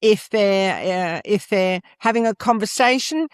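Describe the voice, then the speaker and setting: A woman's voice: mid-range voice, unhurried, no echo, studio quality. An older Australian woman, a former school principal, talking emphatically as a guest in an interview. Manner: emphatic, leans on "absolutely" and "et cetera". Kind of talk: interview conversation.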